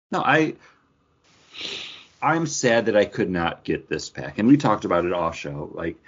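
A man's voice: sits low, slightly rough. A middle-aged man co-hosting a podcast in loose, casual conversation. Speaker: podcast co-host. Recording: dull, lo-fi sound.

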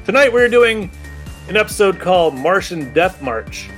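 A male podcast host with a fairly high voice gives a casual, prepared introduction. Faint music plays behind him. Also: sing-song, moderate pace, fairly bright tone.

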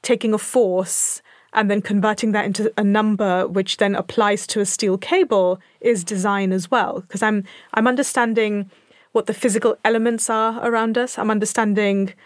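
A woman's voice: medium pitch, no echo. A woman hosting a podcast in a studio, an engineer explaining her work in an enthusiastic, chatty way. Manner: enthusiastic, laughs easily. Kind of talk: casual podcast conversation.

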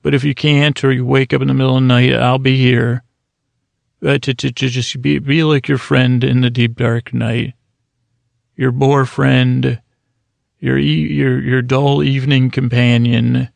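Rambling gravelly man hosting a sleep podcast. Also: mid-range voice, little pitch movement, a little slow, no room sound. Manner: meandering, self-deprecating, full of restarts. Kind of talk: rambling monologue for falling asleep.

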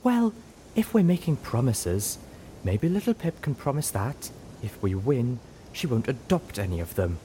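A man voicing a refined female unicorn with a prim, measured and cutting way of talking, in an audiobook. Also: mid-range voice, very animated, dark tone, slight background noise, pitched above the performer's own voice. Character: refined female unicorn, sharp-tongued then kind.

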